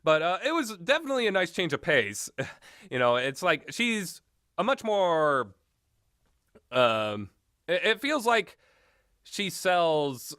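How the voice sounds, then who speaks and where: A man rambles through blunt, casual commentary in a bright, forward voice. His pitch swings around a lot as he halts, restarts and fills gaps while thinking. The recording is close and dry. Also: clear, resonant tone, dry recording. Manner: hesitant, halting, many filler words and trailing thoughts. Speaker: host of a video game talk.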